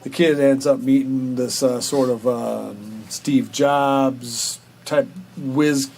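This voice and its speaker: A man co-hosting a review show, talking casually and off the cuff, explaining things in a halting, hedging way. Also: mid-range voice, fairly even intonation, gravelly, darkish tone. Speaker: co-host of a film and TV review show. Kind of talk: casual unscripted review chat.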